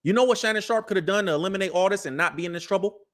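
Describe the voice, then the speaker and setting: A man's voice: sits high, rapid-fire, a bit bright. A man in his thirties, hosting a commentary show, lecturing his listeners in a preachy, slang-filled way. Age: 30s. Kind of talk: animated live commentary.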